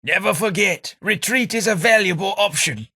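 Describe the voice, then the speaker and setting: A man's voice: high voice, moderate pace, sharp tone, husky, no echo, full character voice. A man voicing a snobbish, sardonic male ghoul aristocrat with an English accent, delivering game companion lines in a prim, dry upper-class drawl. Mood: disdainful. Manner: prim, snobbish, wryly sarcastic.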